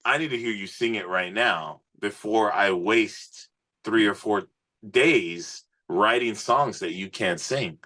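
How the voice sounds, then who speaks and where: A man's voice: fairly low pitch, slow, sharp tone. A man speaking as a musician guest in a casual interview, earnest and informal, often dropping in "man" and "you know". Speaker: musician, singer, podcast guest.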